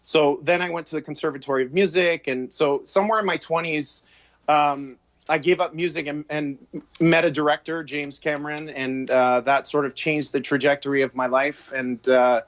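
A Canadian man in his forties talks reflectively over a video call from home, circling back and restarting his thoughts. His voice sits a little high for a man. The sound is dull and lo-fi. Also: fairly even intonation, normal speed, fairly bright tone. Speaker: film director guest. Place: home room with shelves.

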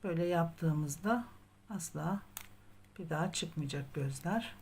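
A woman's voice: on the low side, slow pace, a little mellow, a little hiss or hum. A woman narrating a step-by-step craft tutorial in a patient, methodical way, as the host. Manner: patient, methodical instruction, counting aloud.

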